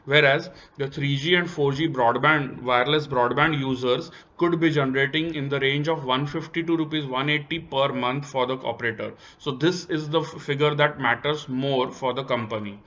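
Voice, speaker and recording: A man's voice: mid-range voice, moderate pace, hardly any pauses, a bit bright. A man with an Indian accent presenting company analysis over slides, explaining step by step and stressing key points. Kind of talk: semi-scripted slide commentary. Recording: dull, lo-fi voice recording.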